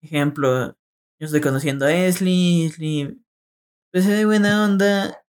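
A man's voice: fairly high pitch, a little slow, clear, resonant tone. A young man co-hosting a podcast, speaking straight to his listeners and giving them advice in casual conversation. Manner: addresses listeners directly, gives advice. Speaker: podcast co-host.

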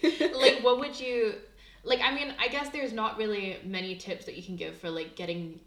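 A woman's voice: mid-range voice, fairly even intonation, normal speed, a bit bright, reverberant. A young woman hosting a student podcast, asking questions in a chatty way that is full of "like". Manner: chatty, heavy use of "like". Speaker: podcast host. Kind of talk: casual podcast interview.

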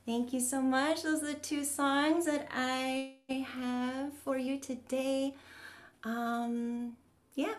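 A woman's voice: high-pitched, level tone, takes it slowly. A woman singer-songwriter chatting casually as a guest, hesitant and modest. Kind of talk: casual chat.

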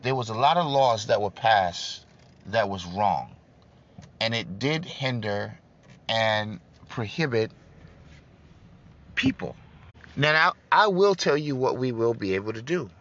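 A man's voice: mid-range voice, lively intonation, slow pace, long pauses, fairly bright tone, dull audio. A man giving an emphatic political talk to his online audience, repeating his points and calling listeners "guys". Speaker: political speaker on a broadcast.

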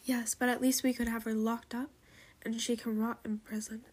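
Storybook dragon queen and mother, composed, softly commanding, voiced by a woman. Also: on the high side, normal speed, husky. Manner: calm, commanding.

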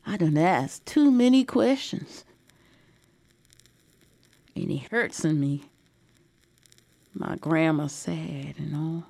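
A woman voicing a curious, tender young granddaughter, telling her story in hushed, wondering dialect. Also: sits low, takes it slowly, vocal fry, pauses often, no room sound, full character voice. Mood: sad, tender. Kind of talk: dramatic audio storytelling.

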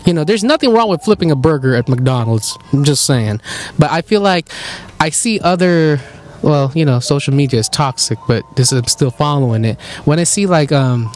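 Young man, podcast host, high-pitched voice, jokey, faint background music. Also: lively intonation, normal speed, few pauses. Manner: self-described high-pitched voice, joking and laughing. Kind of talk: casual podcast chat.

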